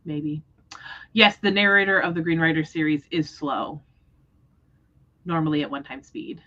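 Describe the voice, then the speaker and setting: A woman's voice: low-pitched, fairly even intonation, moderate pace, sharp tone, muffled recording. A woman hosting a book readalong livestream, talking casually about books. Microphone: livestream microphone.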